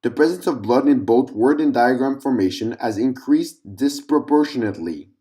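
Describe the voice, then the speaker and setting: A man's voice: mid-range voice, mellow. A man narrating a scripted fictional document in a formal, clinical report-reading manner.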